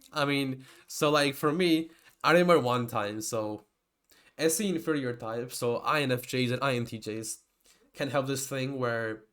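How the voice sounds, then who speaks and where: A man's voice: mid-range voice, fairly bright tone. Young guy, georgian is his first language, lecturing loosely, keeps restarting and wandering off point. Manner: rambling, digressive, with many restarts. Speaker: typology lecturer. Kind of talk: casual lecture to camera.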